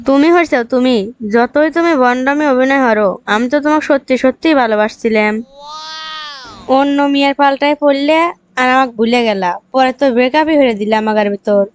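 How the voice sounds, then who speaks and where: A woman's voice: sits high, fairly quick, a little hiss or hum, close to an everyday voice. A woman voicing a scornful young interviewer and bitter ex-girlfriend in a cartoon, scolding and sarcastic, with a rural Bangladeshi accent. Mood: bitter accusing. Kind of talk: cartoon comedy dialogue.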